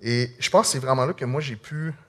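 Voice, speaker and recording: A man with a Québécois French accent, telling an audience his personal testimony in an earnest, halting, conversational way. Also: medium pitch, fairly bright tone. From Quebec. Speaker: speaker sharing a personal testimony.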